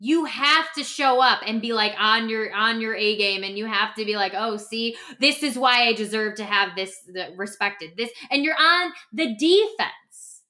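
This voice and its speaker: A woman hosting a podcast as a coach, talking casually and emphatically in an animated, informal way. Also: on the high side, moderate pace, hardly any pauses. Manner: animated, emphatic, acts out imagined quotes; informal, peppered with "like". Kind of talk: casual conversational podcast talk.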